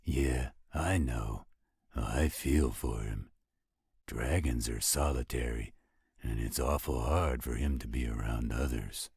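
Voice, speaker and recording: A man's voice: extremely low pitch, takes it slowly, a little mellow, slightly rough, no room sound, studio quality, full character voice. A man playing an easygoing, coaxing partner in a scripted roleplay audio drama, with a patient drawl. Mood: concerned. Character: easygoing male partner soothing his mate, potato-loving.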